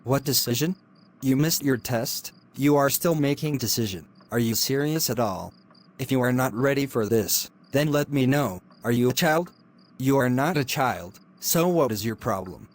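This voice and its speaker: A middle-aged man, acting a predatory lecturer who speaks low, quiet and threatening. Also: expressive, fairly quick, few pauses, lightly acted. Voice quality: low, quiet and menacing delivery. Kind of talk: amateur drama acting.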